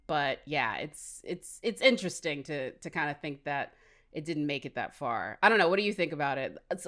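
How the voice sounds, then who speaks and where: A woman with a low-pitched voice co-hosts a podcast. She talks in an informal, conversational way, as in a casual discussion of films. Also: a bit bright. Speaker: podcast co-host.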